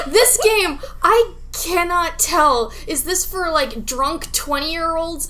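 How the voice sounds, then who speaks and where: A woman with a higher-pitched voice chats as a podcast co-host about games. She sounds animated and giggly, often incredulous, and keeps things casual.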